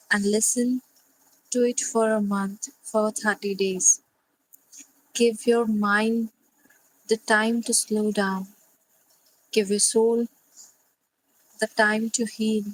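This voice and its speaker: A woman leading a guided sleep meditation, speaking gently and soothingly in short phrases with long pauses. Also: medium pitch, flat delivery, a little hiss or hum, rough recording.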